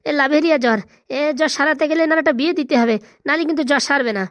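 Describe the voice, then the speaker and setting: Woman as a high-pitched, cocksure cartoon doctor. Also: rapid-fire, dry recording, clean studio sound, natural voice. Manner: boastful, emphatic. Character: brash, cocksure village doctor with comic diagnoses. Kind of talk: comic cartoon voice acting.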